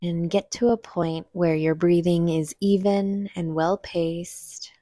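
A woman leading a guided meditation, giving gentle, unhurried instructions with long pauses between phrases. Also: lowish voice, steady tone, no room sound, clean studio sound.

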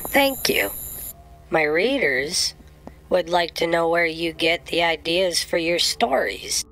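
Slow, low-voiced earnest detective reporter played by a woman. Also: lively intonation, vocal fry, fairly bright tone, faint music behind, full character voice. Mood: polite. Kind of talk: children's radio drama acting.

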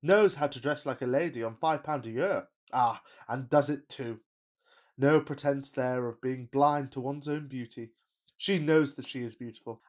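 An impulsive, fickle Victorian gentleman, played by a man in a radio farce. He gushes in florid, pompous phrases with melodramatic feeling. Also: a little high. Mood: smitten musing. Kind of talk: farcical Victorian radio play acting.

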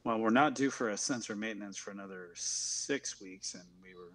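A man's voice: on the low side, normal speed, a bit bright. A man in a tabletop roleplay game, improvising casual dialogue as a ship's captain.

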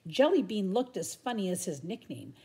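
An older woman reads a children's story aloud at home. Her delivery is expressive and animated, with a soft, mellow tone. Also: medium pitch, moderate pace. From Canada. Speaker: storybook reader. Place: room at home.

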